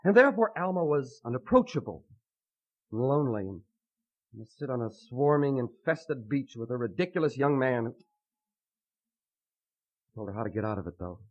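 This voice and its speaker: An actor playing an earnest, lovesick young man who speaks in halting, poetic, fervent phrases in a melodramatic radio drama. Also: mid-range voice, very animated. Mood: brooding, earnest. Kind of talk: melodramatic old-time radio acting. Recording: muffled vintage radio recording.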